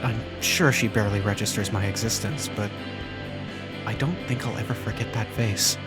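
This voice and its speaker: A man playing a self-conscious high school senior, narrating a visual novel fan dub in the first person with a wry, introspective manner. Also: normal speed, loud music behind the voice, close to an everyday voice. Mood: haunted, tense.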